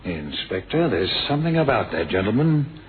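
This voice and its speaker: Uneasy, animated police sergeant. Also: male voice, on the low side, moderate pace. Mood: suspicious. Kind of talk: 1970s radio drama acting. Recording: tinny, noisy old radio recording.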